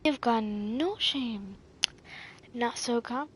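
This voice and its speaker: A woman's voice: a little high, slow pace, a little hiss or hum. A young woman giving playful, slangy commentary while playing a video game. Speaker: gamer streamer. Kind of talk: casual let's-play commentary.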